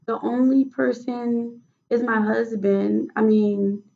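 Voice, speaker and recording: Woman, raspy, heavily gravelly, fairly high, hesitant, lo-fi recording. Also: fairly even intonation, takes it slowly, warm tone. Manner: hesitant, many fillers and restarts. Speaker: mock patient in a role-play case study. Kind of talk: role-played clinical interview.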